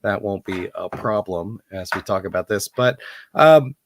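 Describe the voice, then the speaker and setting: A man hosting a live online chat, hoarse from losing his voice and talking in a rambling, halting way. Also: on the low side, a little hiss or hum. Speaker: host. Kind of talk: casual online hangout chat. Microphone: computer mic on a live video call.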